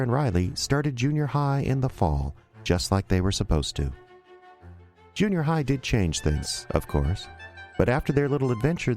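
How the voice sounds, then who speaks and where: A man's voice: medium pitch, a little mellow. A man narrating an audio drama in a storytelling style, as its narrator and announcer.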